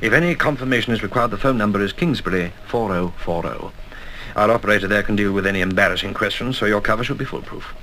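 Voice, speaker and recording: An actor playing a courteous, commanding colonel in a 1960s radio drama, speaking in brisk, formal briefings. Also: male voice, fairly low pitch, sharp tone. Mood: brisk, businesslike. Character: genteel, commanding older intelligence colonel, male, dryly courteous. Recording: faintly noisy 1960s flexidisc radio recording.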